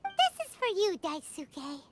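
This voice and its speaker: A woman voicing a tiny, perky fairy companion in a video game, with a squeaky, childlike and exclamatory delivery. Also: huge pitch swings, takes it slowly, over music, heavily acted. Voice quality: squeaky, babyish. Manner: refers to herself in third person, exclamatory. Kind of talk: dubbed video game dialogue.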